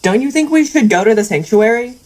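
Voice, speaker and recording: A woman's voice: on the high side, lively intonation, brisk, darkish tone, close to an everyday voice. A woman acting as a blunt, dry, cynical ranch hand who yearns for a better life, in an audio drama. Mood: pleading, frustrated.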